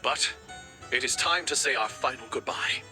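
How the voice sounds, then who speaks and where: A man's voice: mid-range voice, moderate pace, pushed and bright. A man voicing a devoted, reckless raven warrior in a video game, speaking with grand, earnest drama. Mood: solemn farewell. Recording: background music under the voice.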